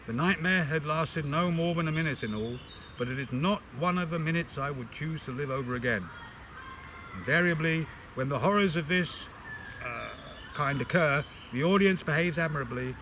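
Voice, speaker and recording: An older man reads aloud in an expressive literary way, with measured, dramatic phrasing. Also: on the high side, a little hiss or hum, rough recording. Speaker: amateur reader introducing and reading a book extract.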